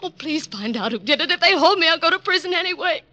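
A woman playing a haughty, high-strung young rich woman in an old radio drama. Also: expressive, fast talker, pushed and bright, heavily acted. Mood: pleading, distraught. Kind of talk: 1940s-50s radio drama acting. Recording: old radio recording with faint noise.

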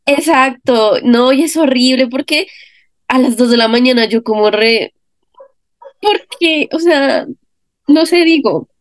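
A woman from Bogotá with a Bogotá accent, a guest chatting in a relaxed way. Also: high voice, measured pace, no room sound. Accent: Bogotá Colombian Spanish. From Bogotá, Colombia. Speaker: podcast guest, Instagram page admin. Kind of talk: casual chat.

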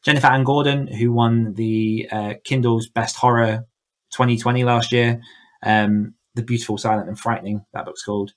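A man's voice: medium pitch, fairly even intonation, moderate pace. A man speaking casually as an interview guest, talking in an enthusiastic, effusive way. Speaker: podcast guest, horror author and coach.

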